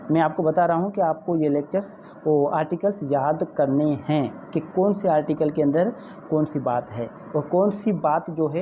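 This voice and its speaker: A man's voice: a little high, mellow. A man with a Pakistani accent giving an informal law lecture, repeating points and often checking that students follow. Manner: repetitive explaining with frequent comprehension checks. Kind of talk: informal exam-prep lecture mixing in English legal terms. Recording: muffled lo-fi audio with faint background noise.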